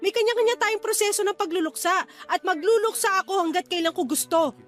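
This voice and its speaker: A woman's voice: very high voice, fairly quick. A woman acting a wounded, weary grieving mother and wife in a Filipino radio drama, speaking with sorrowful emotion. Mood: defensive grief. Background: background music.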